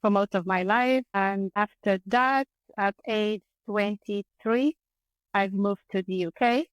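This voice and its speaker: A woman's voice: medium pitch, slow pace, clean tone, no echo, rough recording. A middle-aged woman from Angola, a guest speaking casually in a podcast interview, often restarting her phrases. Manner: warm, restarts phrases often, laughs easily. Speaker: guest, dance teacher.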